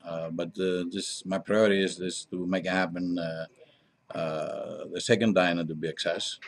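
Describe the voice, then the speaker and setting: A middle-aged man from Greece with a Greek accent answers interview questions conversationally, in halting non-native English with frequent pauses. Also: low-pitched, fairly even intonation, creaky. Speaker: interview guest, diner owner.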